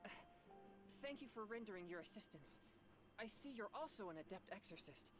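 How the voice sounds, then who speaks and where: A woman's voice: mid-range voice, animated, a little fast, over music, close to an everyday voice. An actress playing a polite, hesitant boy exorcist in a game trailer, courteous and prone to stammering. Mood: flustered. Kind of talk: game character trailer acting.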